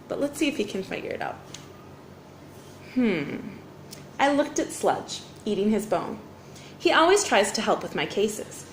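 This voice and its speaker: A woman teacher reading a children's storybook aloud with expressive, deliberate phrasing. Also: medium pitch. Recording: roomy echo, faint background noise.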